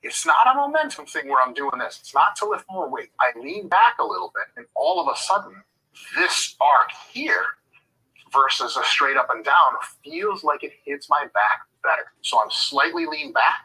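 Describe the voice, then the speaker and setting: A man's voice: fairly high pitch, very animated, moderate pace, bright, forceful tone, slightly rough, rough recording. Foul-mouthed, irreverent fitness coach man. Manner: profane, irreverent, joking digressions; demonstrates lifting technique while talking. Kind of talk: casual advice monologue.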